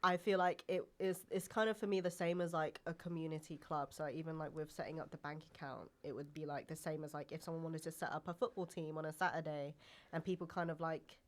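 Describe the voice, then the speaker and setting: A woman's voice: low-pitched, steady tone, fairly quick. A young woman from England, chatting casually as a podcast guest and filling her talk with "like" and "um". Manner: chatty, heavy use of "like" and "um".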